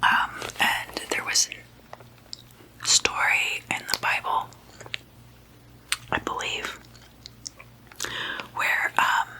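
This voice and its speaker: A woman hosting ASMR, speaking informally in a soft, breathy, unvoiced whisper with a deliberate, gently playful manner. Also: rough recording. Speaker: ASMR video host.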